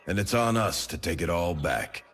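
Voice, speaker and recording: An actor playing a businesslike, resolute military second-in-command in a video game, speaking in terse briefing style. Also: male voice, deep voice, lively intonation, normal speed, fairly bright tone. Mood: resolute, stirring. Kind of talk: video game cutscene acting. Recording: long hall reverb, music behind. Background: music.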